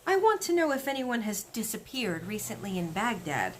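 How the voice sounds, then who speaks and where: A woman voicing a commanding, unflappable storybook queen in a brisk, matter-of-fact manner. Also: mid-range voice, big pitch swings, a little hiss or hum, lightly acted. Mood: businesslike.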